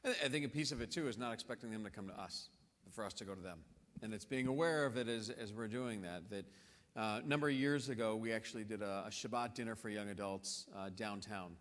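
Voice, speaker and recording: A man, a rabbi, explaining things to the congregation in a relaxed conversational way, with fillers and restarts. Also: fairly low pitch, fast. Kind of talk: casual panel conversation. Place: large synagogue hall. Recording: long hall reverb.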